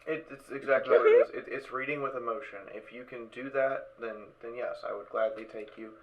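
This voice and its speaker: A man hosting a casual live stream over voice chat, talking haltingly and repeating his words as he organises. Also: medium pitch, steady tone, a crowd in the background. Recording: dull voice chat with background noise.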